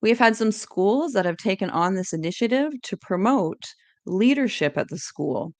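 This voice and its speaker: A woman's voice: mid-range voice, lively intonation, moderate pace, no room sound. A woman giving an educational presentation, reading encouraging tips in a scripted, list-like way.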